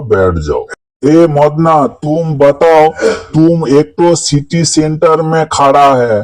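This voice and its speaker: A man's voice: on the high side, darkish tone, poor audio. A man voicing an exasperated, sarcastic schoolteacher in a comedy cartoon, quizzing pupils with a mocking drawl.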